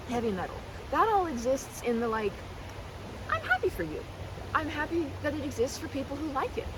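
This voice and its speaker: A woman chatting in a rambling, halting, earnest way, as if to a best friend in an ASMR roleplay, repeating herself and delivering things expressively. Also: on the high side, noisy background. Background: riverside park ambience.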